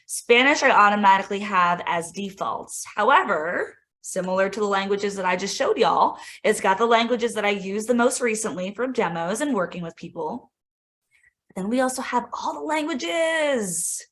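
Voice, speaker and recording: A woman's voice: medium pitch, lively intonation, moderate pace, fairly bright tone. A woman instructional coach giving a casual, enthusiastic screen-share tutorial for teachers, peppering her talk with "like" and "right?". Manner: chatty, lots of "like" and "right?". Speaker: instructional coach presenting a tech demo.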